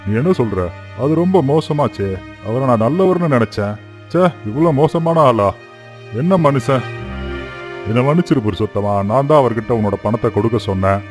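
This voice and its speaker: A man's voice: medium pitch, expressive, moderate pace, a little mellow, faint music behind, lightly acted. A man acting a shrewd, kindly rich farmer. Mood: indignant, remorseful. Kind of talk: Tamil cartoon moral story acting.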